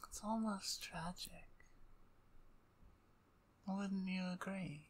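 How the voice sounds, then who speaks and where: A woman playing a menacing, playfully dominant female vampire in an ASMR audio roleplay, with a breathy, husky voice and a taunting, mock-courteous manner. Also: medium pitch, drawn-out words. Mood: gloating.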